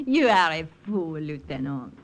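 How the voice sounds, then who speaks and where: A woman's voice: low voice, heavily acted. A woman playing a teasing, coy Frenchwoman with a French accent in an old radio drama. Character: charming, teasing young Frenchwoman of a chateau. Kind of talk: 1940s-50s radio drama acting.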